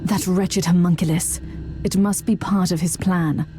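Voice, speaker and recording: A woman's voice: on the low side, steady tone, a little slow, noisy background, close to an everyday voice. An actress playing a stern, zealous woman inquisitor in a video game. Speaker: inquisitor, player character.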